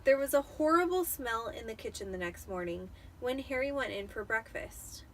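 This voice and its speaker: A tipsy woman reading a novel aloud in a theatrical, expressive storytelling style. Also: fairly high pitch, normal speed, distant mic, slight background noise. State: tipsy from wine. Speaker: amateur storybook reader.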